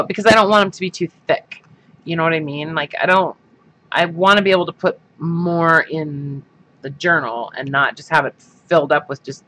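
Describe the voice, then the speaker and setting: A man's voice: fairly high pitch, bright, forceful tone, low-quality recording. A man hosting a craft livestream, chatting casually and rambling with lots of fillers, his sinuses stuffed up. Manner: rambling, many fillers and restarts.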